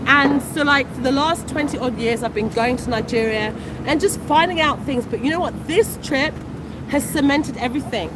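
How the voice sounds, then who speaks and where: A woman in her forties with a British accent talks on a livestream in a high, bright voice, chatty and quick to exclaim. She stands on a busy street with her phone held out. Traffic hums loudly behind her. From United Kingdom. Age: 40s. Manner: chatty, exclamatory, warm asides. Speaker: vlogger. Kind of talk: casual livestream chat. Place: outdoors on a city street. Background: passing traffic.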